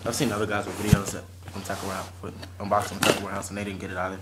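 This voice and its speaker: A young man in his late teens chatting casually and haltingly as he presents items to a camera at home. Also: low voice, monotone. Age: teens to young adult. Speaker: vlogger showing fishing tackle. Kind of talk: casual unscripted unboxing chat. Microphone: camera or phone mic at arm's length. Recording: noisy amateur recording with clicks and rustling.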